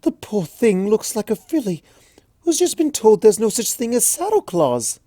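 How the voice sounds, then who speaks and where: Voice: medium pitch, very animated, moderate pace, mellow, no echo, clean studio sound, voice pushed up. A reader voicing a refined, fussy unicorn seamstress mare in a storybook reading, prim and genteel. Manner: prim, genteel, says darling. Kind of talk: audiobook fanfic reading.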